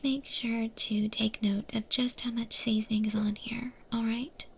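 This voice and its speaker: A breathy, whispering eldritch monster woman, acted by a woman teaches cooking in an ASMR roleplay. Her delivery is very slow and even, with a faint creak in it. She is patient and gently instructive, like a senior cook with a trainee. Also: fairly high pitch, heavily acted. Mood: patient, reassuring.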